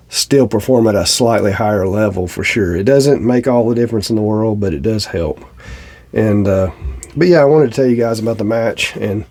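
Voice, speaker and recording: A man's voice: medium pitch, dark tone. A middle-aged man with a Southern US accent and a slightly stuffy nose, chatting plainly and casually to viewers in a review. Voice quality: slightly congested, stuffy-nosed. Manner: plainspoken, folksy. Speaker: shooting channel host.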